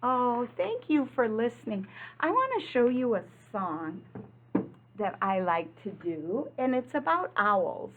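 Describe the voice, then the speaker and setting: Woman, children's read-aloud, unhurried pace, simple teacherly phrasing, questions to little listeners, thin lo-fi recording. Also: a little high, slight background noise. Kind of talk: children's picture-book read-aloud with asides to young listeners.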